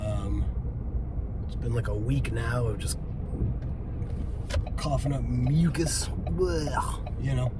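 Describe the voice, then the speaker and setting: Stuffy-nosed guy in his 30s talking to himself outside the gym, lots of um and you know, cars rumbling loud behind. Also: fairly even intonation, slow, long pauses. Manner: loose, rambling self-talk with lots of fillers and swearing. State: congested. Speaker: vlogger. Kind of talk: casual post-workout vlog. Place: outdoors by a road, leaving the gym. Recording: loud traffic noise outside. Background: traffic rumble.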